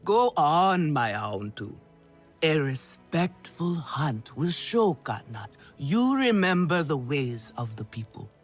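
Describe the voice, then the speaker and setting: A man's voice: fairly high pitch, very animated, slow, exaggerated voice. A man playing a flamboyant, doting alien clan elder who loves silk, in theatrical game cutscene style with music behind him. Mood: encouraging. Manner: theatrical, effusive. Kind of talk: video game cutscene acting.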